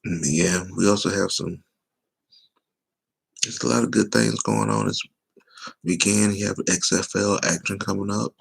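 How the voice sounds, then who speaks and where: A man chatting loosely and teasingly on a sports talk livestream, with a voice he says is going hoarse. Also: sits low, lively intonation, unhurried, pauses often. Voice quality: gravelly, husky. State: losing his voice. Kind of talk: casual livestream chat.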